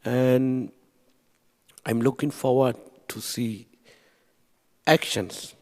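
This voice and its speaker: Slow, earnest man. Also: mid-range voice, steady tone. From Burma. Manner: earnest, non-native grammar, repeats phrases for emphasis. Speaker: panelist. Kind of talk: speaking at a panel event. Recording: reverberant hall.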